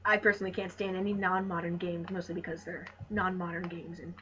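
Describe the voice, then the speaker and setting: A guest co-commentator with a mid-pitched voice, chatting casually and jokingly on a video game let's play. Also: flat delivery, fairly quick, sharp tone. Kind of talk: casual gaming chat. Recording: muffled, noisy voice recording.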